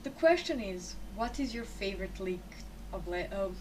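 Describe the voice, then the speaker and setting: A young Croatian woman answers interview questions in a loose, rambling way, often stopping to correct herself mid-sentence. Her English carries a Croatian accent. She sounds set back from the microphone, with a steady noise behind her. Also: medium pitch, moderate pace, recorded from a distance. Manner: rambling, self-correcting, chatty. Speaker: esports caster, interview guest.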